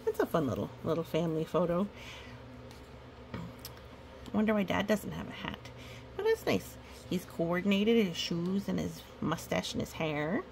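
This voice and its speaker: A woman's voice: on the low side, big pitch swings, moderate pace, gravelly, pauses often, a little mellow, a little hiss or hum. A woman hosting a chatty picture-book storytime, with playful, rambling asides. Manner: playful, rambling asides with little sung bits and hums. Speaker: storytime reader and host.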